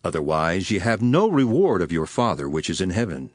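An actor playing a solemn, authoritative male preacher in a dramatized King James Bible reading, declaiming in a measured, emphatic way. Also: sits low, big pitch swings, no echo, studio quality.

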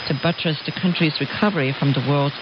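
A man presenting scripted radio news in a formal, measured way, heard over shortwave radio. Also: a little high. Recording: muffled, noisy shortwave radio broadcast.

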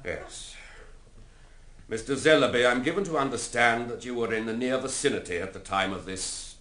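A man playing a pompous coroner in a radio play, questioning formally and deliberately. The mid-pitched voice rings in an echoey hall. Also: bright, forceful tone, lightly acted. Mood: pompous, probing.

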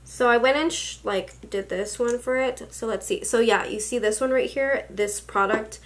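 A young woman in her twenties talks through a makeup haul in a casual, gushing way, peppering her talk with fillers and excited exclamations. She is recorded indoors in a small home room, sitting at a desk a little way from the camera. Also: on the high side, moderate pace, a little hiss or hum. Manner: gushing, chatty, with many likes and exclamations. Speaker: beauty vlogger. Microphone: camera at desk distance.

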